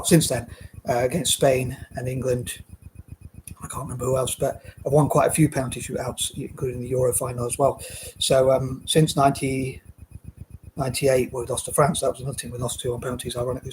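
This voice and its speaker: Male amateur video creator, monotone, casual rambling delivery with hesitations, slightly noisy homemade recording. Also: medium pitch. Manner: hesitant, meandering. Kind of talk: rambling casual vlog talk.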